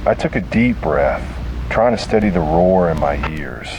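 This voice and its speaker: A man's voice: sits low, animated, moderate pace, vocal fry, background noise. A man reading a scripted first-person horror story in a grave, dramatic way.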